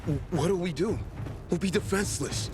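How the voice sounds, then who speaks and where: A man's voice: high-pitched, brisk, music in the background, natural voice. An actor playing an anxious, bitter male villager in a video game, worried and protesting. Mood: panicked. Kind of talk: video game cutscene acting.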